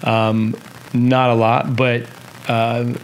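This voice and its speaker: Male host, casual and hesitant delivery, average pitch. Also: level tone, very slow, slight background noise, low-quality recording. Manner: hesitant, many ums and restarts. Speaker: channel host. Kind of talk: casual vlog intro.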